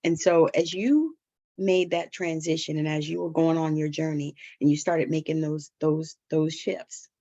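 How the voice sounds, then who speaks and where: A woman's voice: deep voice, measured pace, mellow. A woman hosting an interview, affirming her guest often and asking reflective questions. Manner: affirming, frequent laughs and "mm-hmm". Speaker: podcast host. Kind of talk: interview conversation. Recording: slightly muffled lo-fi audio.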